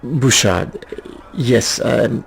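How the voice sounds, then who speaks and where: A man's voice: medium pitch, drawn-out words, creaky, slightly rough, heavily acted, poor audio. A man playing a nervous, shifty informant in a video game, anxious, hesitant and eager to placate. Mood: nervous, defensive. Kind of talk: video game cutscene voice acting.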